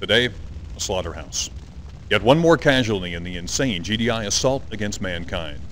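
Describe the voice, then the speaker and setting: A man's voice: lowish voice, expressive, moderate pace, slight background noise, close to an everyday voice. Solemn propaganda war reporter, played by a man. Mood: grave, indignant. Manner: solemn, theatrical, rhetorical. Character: grave, accusatory male propaganda TV war reporter. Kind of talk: dramatic news report, video game acting.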